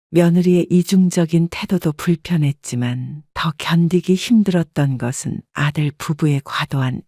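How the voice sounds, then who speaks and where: A woman narrating a family story in a calm, measured way, recorded on a close studio mic. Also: sits low, no echo.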